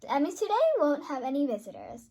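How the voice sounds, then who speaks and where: Child female reader, high-pitched and animated, emphatic storybook delivery. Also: fast. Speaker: host and reader of a children's read-aloud. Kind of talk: read-aloud storytelling.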